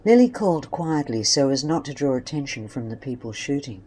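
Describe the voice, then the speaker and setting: A woman's voice: a bass voice, big pitch swings, brisk, mellow, slightly rough. A woman reading scripted narration for an audio drama story.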